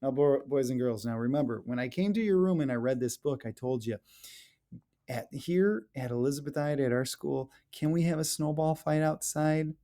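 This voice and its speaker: A man reading a picture book aloud to young children in an animated, playful way, with exaggerated questions and exclamations addressed straight to them. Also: medium pitch, moderate pace. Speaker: elementary school educator reading aloud.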